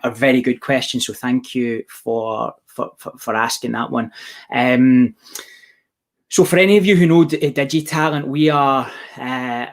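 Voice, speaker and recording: A young man from Scotland with a softened Glaswegian accent, answering questions about his business in a casual, rambling way full of restarts. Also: medium pitch. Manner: rambling, many restarts and fillers. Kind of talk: casual Q&A chat.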